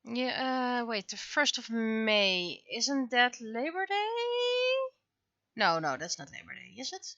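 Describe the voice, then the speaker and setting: A bright-voiced woman thinks aloud on a casual art livestream, speaking slowly and haltingly, half to herself. The sound is very dry and a little dull. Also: a little high, big pitch swings, dry recording. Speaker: livestreaming webcomic artist. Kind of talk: casual livestream chat while working.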